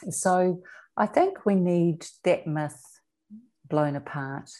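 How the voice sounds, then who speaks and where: A woman with a New Zealand accent speaking earnestly as an interview guest, deliberate and emphatic, repeating words for weight. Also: on the low side, warm tone. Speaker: interview guest, author and abuse survivor advocate.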